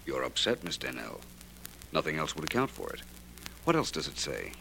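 Deep-voiced, suave radio detective. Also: male voice, huge pitch swings, moderate pace, creaky, pushed and bright, heavily acted. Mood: grave, measured. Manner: urbane, courtly, wry. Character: suave, quick-witted male private detective. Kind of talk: old-time radio drama acting. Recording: faintly noisy old radio recording.

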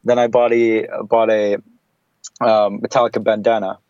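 A man's voice: on the low side, flat delivery, normal speed, darkish tone, clean tone, dry recording, lo-fi sound. A man talking casually as a podcast guest, rambling with many fillers.

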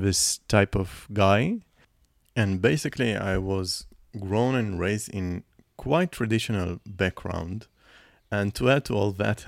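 A man's voice: lowish voice, vocal fry, no echo, clean studio sound. A man, hosting a podcast and telling his own story in an earnest, reflective way. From Israel. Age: 20s. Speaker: podcast host. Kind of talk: personal podcast monologue.

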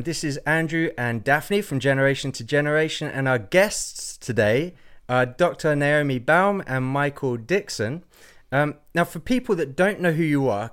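A man's voice: fairly high pitch, fairly bright tone, clean tone. A man hosting a podcast, reading a scripted welcome and then easing into questions for his guests. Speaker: podcast host.

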